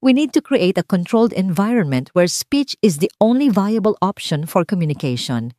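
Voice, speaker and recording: A woman voicing a calm, emotionless male psychiatrist in his late forties in an audio drama story. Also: mid-range voice, no room sound, studio quality, natural voice. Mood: firm. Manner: calm, emotionless, measured, repetitive.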